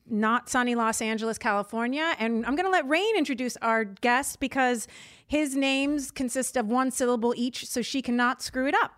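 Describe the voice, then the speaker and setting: A woman's voice: a little high, moderate pace, a bit bright. A woman co-hosting a podcast in a studio, talking casually with dry, self-deprecating humor. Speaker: podcast co-host.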